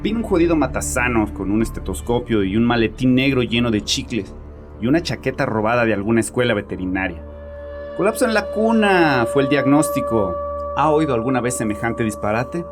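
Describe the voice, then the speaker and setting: A man's voice: medium pitch, sing-song, a little fast, loud music behind the voice, close to an everyday voice. A man playing a bitter, domineering grieving father who confides in a therapist with agitated, sarcastic arrogance in a horror audio drama. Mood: scornful.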